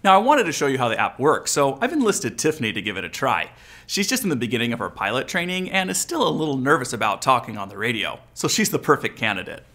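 A man's voice: medium pitch, very animated, fast, bright, forceful tone. A man in his forties with an American accent, explaining and coaching as a video host in a casual instructional style. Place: furnished living room, indoors.

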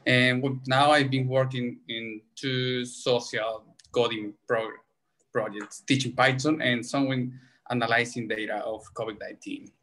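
A man's voice: medium pitch, steady tone, measured pace, a bit bright. A man from Peru, a university coordinator speaking friendly, careful English over a video call. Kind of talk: casual chat.

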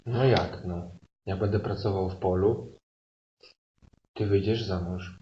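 A man's voice: low-pitched, fairly even intonation, slow. A man playing a cautious, matter-of-fact country boy with colloquial speech in an improvised audio play. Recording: roomy, dull, noisy live stage recording.

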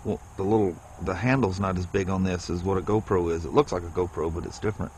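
Man, casual self-talk review outdoors; rambling, action-cam audio with steady noise. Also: deep voice, fast talker. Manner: rambling, self-deprecating, thinks aloud. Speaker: vlogger reviewing gear. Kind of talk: casual unboxing and ride vlog. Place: outdoors on a rural property. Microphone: action camera held in hand.

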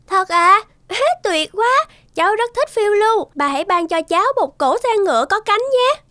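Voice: extremely high pitch, lively intonation, normal speed, pushed and bright, no echo, exaggerated voice. An actor voicing a cheerful, imaginative little girl playing a princess in a cartoon, polite and eager as she tells her tales. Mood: excited. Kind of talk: animated cartoon dialogue.

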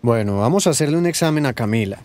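An actor with a Colombian accent playing a measured, serious male doctor in a radio soap opera. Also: medium pitch, lively intonation, darkish tone, close to an everyday voice. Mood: calm, professional. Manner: measured, explanatory. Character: calm, serious male gynaecologist.

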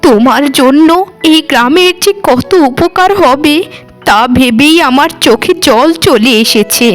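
A doting older village mother-in-law from a cartoon, voiced by a woman. She is proud and affectionate, high in pitch, with music in the background. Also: unhurried. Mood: proud, moved. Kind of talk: Bengali cartoon voice acting.

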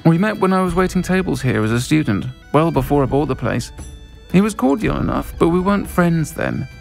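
Middle-aged witch innkeeper, creaky, conversational, frank, faint music behind, game dialogue. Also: fairly high pitch, very animated, fairly quick, darkish tone. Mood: friendly. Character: friendly, frank witch tavern keeper, a woman.

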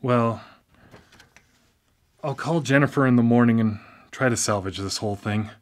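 A man's voice: mid-range voice, moderate pace, close to an everyday voice. A male actor in a radio play playing a dependent, easily rattled brother with a hesitant, emotional delivery. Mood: sheepish. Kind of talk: live radio play acting.

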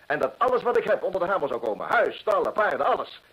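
An actor playing a proud, desperate gentleman landowner in an old radio drama, husky, earnest and theatrical. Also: high-pitched, very animated, fast talker, lo-fi sound, full character voice. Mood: anxious, pleading. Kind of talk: old-time Dutch radio drama acting.

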